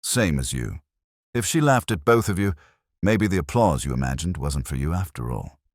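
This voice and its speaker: Male narrator as wisecracking detective, cool and needling, very low voice with animated pitch, audiobook mystery. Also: normal speed, no room sound, clean studio sound. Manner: pleasant but needling.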